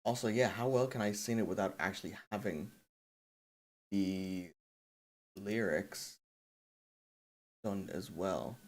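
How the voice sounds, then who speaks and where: A man's voice: lowish voice, slow pace, pauses often, a little mellow. A man streaming in a casual, rambling way, thinking aloud and putting himself down. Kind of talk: casual livestream chat.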